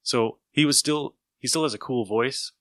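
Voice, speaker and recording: A man's voice: mid-range voice, normal speed, a bit bright, no echo, clean studio sound. A man hosting a podcast, chatting casually as he introduces old radio shows. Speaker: podcast host.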